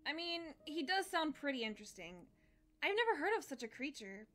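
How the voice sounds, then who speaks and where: A woman voicing an excitable young cartoon pony herbalist, a loyal best friend with a teasing, animated manner. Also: sits high, fairly quick. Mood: supportive. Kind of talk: animated fan drama acting. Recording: music under the voice.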